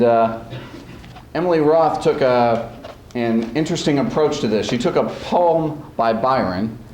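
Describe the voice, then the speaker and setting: A man with a mid-pitched voice introduces a program as host, informal and a little halting. He is in an echoey room with faint noise. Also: darkish tone. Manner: halting, pausing mid-sentence. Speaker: speech coach and host. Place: echoey indoor room before an audience.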